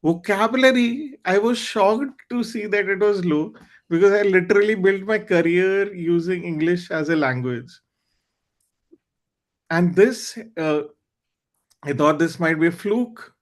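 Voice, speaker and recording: A man with a high voice hosts a club meeting over a video call. His is Hindi, and he talks in an earnest, candid way, reaching for words with small fillers. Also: measured pace. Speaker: meeting host. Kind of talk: online club meeting talk.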